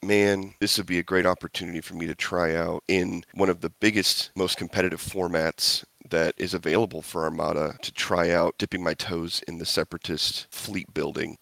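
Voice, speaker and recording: A man's voice: deep voice, gravelly, fairly bright tone, no echo. A man chatting as a podcast guest about his tabletop game strategy, rambling and correcting himself with enthusiasm. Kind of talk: casual interview chat.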